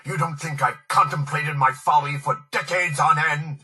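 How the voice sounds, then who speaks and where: An actor playing a proud, bitter old tycoon kept alive by a machine in a video game, theatrical and self-pitying. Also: male voice, a little high, fairly even intonation, normal speed, bright, forceful tone, far from the mic, faint music behind. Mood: anguished outrage. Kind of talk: dramatic video game voice acting.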